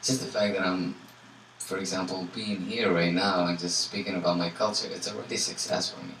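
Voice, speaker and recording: A man from Tunisia, a guest musician answering audience questions in a relaxed way, full of "you know" and fillers. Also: low voice, fairly quick. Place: indoor salon event. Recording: echoey room from far off, faint noise.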